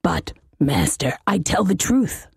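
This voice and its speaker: A woman's voice: lowish voice, wildly swooping pitch, takes it slowly, gravelly, husky, dry recording, studio quality, voice pushed up, heavily acted. A woman voicing a scheming, cowering old male demon servant who pleads in a servile way, in an audiobook story. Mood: pleading, evasive.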